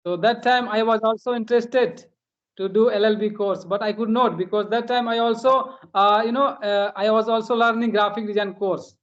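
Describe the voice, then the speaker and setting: A man's voice: sits high, fairly even intonation, moderate pace, dull audio. A man from India chatting casually in as a practice conversation, hesitant and repeating phrases as he goes. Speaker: host.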